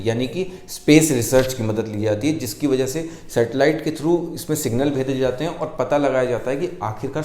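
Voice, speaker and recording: A man presents an explainer in an informal teaching style. His voice is medium-pitched and noticeably mellow, with little edge to it. He drops English technical terms into his sentences, and the recording has a strong room echo. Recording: echoey, roomy sound.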